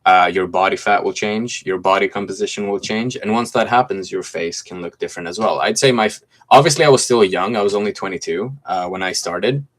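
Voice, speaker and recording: A man's voice: low voice, brisk, fairly bright tone. A Greek man in his twenties with a slight American accent, chatting casually on a livestream from his home and reading viewer comments aloud in a laid-back way. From Greece. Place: room at home with mint green walls.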